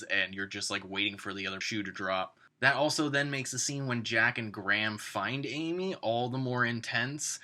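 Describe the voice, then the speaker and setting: Man with a very bright, forceful voice. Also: on the low side. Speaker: actor reviewing a script. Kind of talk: informal spoken review of a script.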